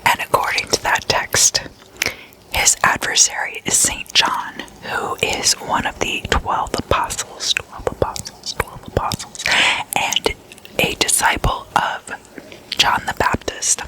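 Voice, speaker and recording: Slow whispering ASMR host. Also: rough recording. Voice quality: unvoiced breathy whisper. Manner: slow, intimate, repeats words softly. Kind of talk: ASMR, whispered casual storytelling. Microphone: close microphone.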